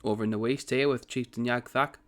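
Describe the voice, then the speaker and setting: Bloke talking through his strategy game at home, croaky, rambly, mid pitch, lots of self-corrections. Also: fairly even intonation, a little fast, a bit bright. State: croaky morning voice. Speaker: gaming channel host. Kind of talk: casual strategy game commentary.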